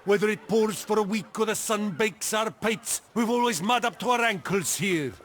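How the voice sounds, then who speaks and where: High-pitched, sneering male townsman. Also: normal speed, a bit bright, slight background noise. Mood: accusing, irritated. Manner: scornful, confrontational. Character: indignant, sneering male townsman shopkeeper, accusing bully. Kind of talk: video game cutscene acting.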